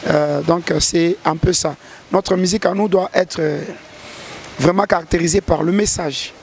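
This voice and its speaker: A man's voice: fairly high pitch, fairly even intonation, normal speed, vocal fry. A man talks as a gospel singer in an interview. He answers earnestly, stumbling and restarting his words as he thinks. A faint noise hums under the recording. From DR Congo. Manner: earnest, hesitant with repeated restarts.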